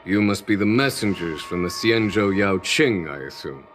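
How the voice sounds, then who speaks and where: An actor playing a calm, shrewd male general who speaks formally. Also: sits low, faint music behind. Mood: composed. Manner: measured, formal. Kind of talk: game voice acting.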